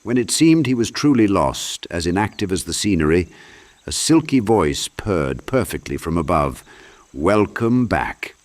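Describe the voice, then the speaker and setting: Male narrator for dark fantasy audiobook: low-pitched, dramatic, scripted storytelling. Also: big pitch swings, normal speed.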